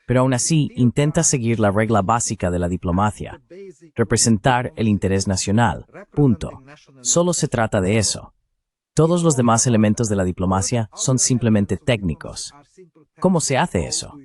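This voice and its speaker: A man giving a Spanish voice-over of interview answers, explaining political analysis in a deliberate way with short emphatic phrases. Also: on the low side, big pitch swings, a little mellow.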